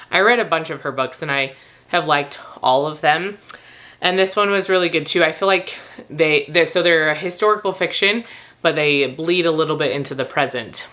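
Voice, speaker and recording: A woman with a slightly rough, recovering voice, rambling casually and informally to camera as she reviews books. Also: deep voice, pushed and bright. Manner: informal, laughs often while talking. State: slightly rough, gravelly voice after losing it. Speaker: book review vlogger.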